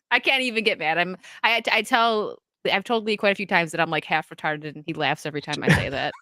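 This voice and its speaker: A woman's voice: lowish voice, a little fast, sharp tone, dry recording. A woman from upstate New York with an upstate New York accent, hosting a casual livestream chat, giggly and chatty. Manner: giggly, chatty, laughs often. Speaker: livestream host.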